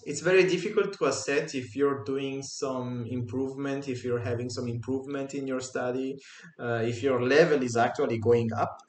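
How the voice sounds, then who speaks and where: A man with an Italian accent, speaking English as a second language, co-hosting a casual podcast chat and pausing often to find his words. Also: medium pitch, steady tone, slight background noise. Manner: halting, searching for words with many pauses. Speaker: podcast co-host. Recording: echoey room.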